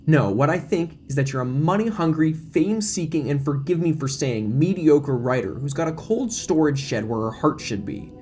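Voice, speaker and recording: A man's voice: fairly high pitch, animated, moderate pace, few pauses, a little mellow, close to an everyday voice. A man playing an earnest, protective, wordy young small-town deputy in an audio drama. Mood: indignant. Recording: music bed behind the voice.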